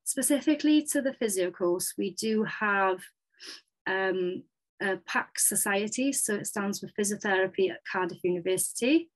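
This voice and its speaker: A woman's voice: mid-range voice, normal speed, poor audio. A young Welsh woman, a native Welsh speaker, talks through her studies in an upbeat, chatty way, with plenty of ums. She speaks into a webcam on a video call. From Wales. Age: 20s. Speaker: physiotherapy student. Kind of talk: presentation over slides.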